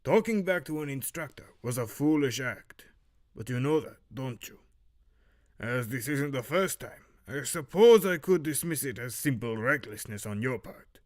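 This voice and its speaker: Man playing stern archery master for audio drama. Formal, measured admonishment, faint Romanian accent, mid-range pitch. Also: lively intonation, natural voice. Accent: put-on, faintly Romanian. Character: stern male archery master rebuking a student.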